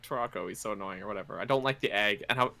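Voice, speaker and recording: Twenty-something guy on a podcast call, gravelly and bright, laughs a lot, voice jumps all over. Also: fairly low pitch, racing. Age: 20s. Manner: animated, laughs often. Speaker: podcast guest and streamer. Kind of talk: casual chat.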